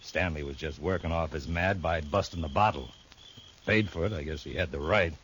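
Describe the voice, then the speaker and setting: A shifty saloon henchman, in a 1950s radio western. His voice sits very low and he blusters defensively. Faint hiss runs under the old recording. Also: fairly quick, fairly bright tone. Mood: confessing. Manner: defensive, blustering then confessing. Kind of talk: 1950s radio drama acting.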